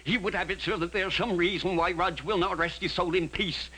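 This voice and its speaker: An actor playing an earnest, deferential man reporting to his superior in a husky voice, in period radio drama style. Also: high voice, steady tone, fairly quick, pushed and bright, low-quality recording.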